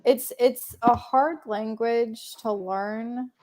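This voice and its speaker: A woman's voice: on the high side, takes it slowly, mellow. A young woman hosting a casual livestream chat, rambling with many fillers and laughing often. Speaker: livestream host.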